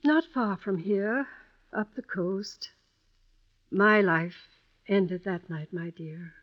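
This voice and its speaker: Radio play widow, played by a woman: elderly, gentle, hesitant, slow delivery, muffled period sound. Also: medium pitch, natural voice. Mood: wistful. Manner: gentle, hesitant, fond endearments. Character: kindly, wistful elderly widow, frail and motherly. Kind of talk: old-time radio drama acting. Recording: dull vintage radio recording.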